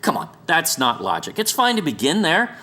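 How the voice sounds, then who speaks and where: A man's voice: on the high side, huge pitch swings, normal speed, sharp tone. A man presenting an explainer in an argumentative, sarcastic and emphatic conversational manner. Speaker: presenter of a documentary series. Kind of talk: argumentative explainer narration over maps.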